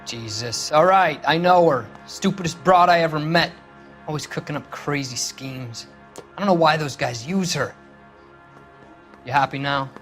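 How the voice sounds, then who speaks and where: An actor playing a surly, cornered small-time car thief with an American accent in a crime drama game, slangy and defensive. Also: male voice, a little high, a little slow, fairly bright tone, faint music behind.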